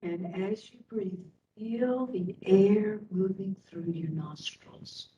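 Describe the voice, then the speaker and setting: A woman instructor leading a guided meditation in a soothing manner, speaking in short phrases with long pauses. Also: mellow, lo-fi sound. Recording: roomy, distant mic, faint rumbling noise.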